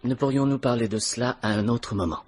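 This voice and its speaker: Actor as husky, impatient, courteous couturier. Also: male voice, medium pitch, fairly quick, a little mellow, close to an everyday voice. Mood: irritated, bitter. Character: weary, curt, courteous male fashion designer. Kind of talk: vintage French radio drama acting.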